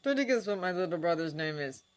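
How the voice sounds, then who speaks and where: Woman doing sardonic wizard guy, growly and forceful, storybook reading. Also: lowish voice, animated, quick pace, lightly acted. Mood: wry. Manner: growling, grumbling, loud. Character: grumpy sardonic grown man, wizard.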